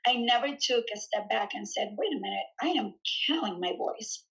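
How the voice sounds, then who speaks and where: A woman in her thirties, a fitness studio founder, chatting in an interview and telling her story in an animated way with frequent fillers and laughs. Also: fairly high pitch, normal speed. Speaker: fitness studio founder and trainer, podcast guest. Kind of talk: casual conversational interview.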